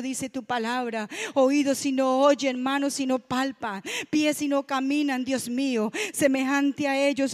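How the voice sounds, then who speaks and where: A woman leading her church in fervent spoken prayer, constantly repeating invocations between short phrases. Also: sits high.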